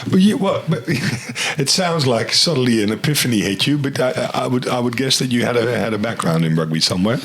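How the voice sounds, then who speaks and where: Lively Dutch host with faint noise. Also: male voice, medium pitch, a little fast, few pauses. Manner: lively, laughs often, stumbling restarts. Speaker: club TV host. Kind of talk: casual interview.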